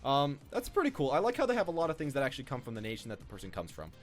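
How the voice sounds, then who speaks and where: Animated man rambling over game music. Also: mid-range voice, very fast. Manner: rambling, repeats his own phrases. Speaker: gaming video host. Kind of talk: casual off-the-cuff gameplay commentary.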